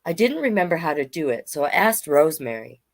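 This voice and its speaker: A woman's voice: low voice, sing-song, a little fast. A woman hosting a storytime, reading aloud to children and chatting to listeners with questions and asides. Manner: conversational, addresses listeners with questions.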